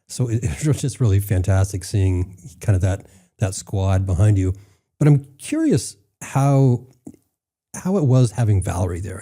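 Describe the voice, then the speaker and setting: A man's voice: sits low, dark tone. A man hosting an interview, asking questions in an enthusiastic, chatty way. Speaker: podcast host.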